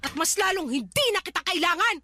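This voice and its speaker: A woman's voice: very high-pitched, lively intonation, brisk, background noise. A woman acting a proud, bitter and sarcastic resort employee in a radio drama, with a Filipino accent and a confrontational, melodramatic manner. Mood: scornful, proud.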